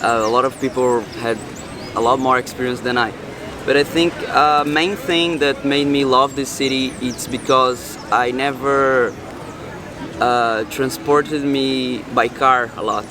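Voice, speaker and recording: A man's voice: medium pitch, fairly even intonation, measured pace, a crowd in the background. A man from Rio with a Brazilian Portuguese accent, giving earnest opinions in a street interview, hesitating with "uh.". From Rio de Janeiro, Brazil. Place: city street outdoors. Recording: street with traffic noise.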